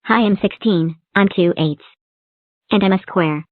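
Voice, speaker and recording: A woman's voice: mid-range voice, a little slow, no room sound, lightly acted. A woman voicing a bubbly little talking number block in a fan-made cartoon, chirpy and sing-song. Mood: cheery. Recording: tinny phone-like dry recording.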